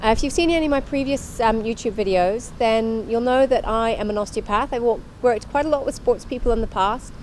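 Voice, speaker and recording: Woman, fairly high voice, exercise instructor, instructional delivery, repeated cues, light ambient noise. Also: fairly quick, few pauses, poor audio. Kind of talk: spoken exercise demonstration.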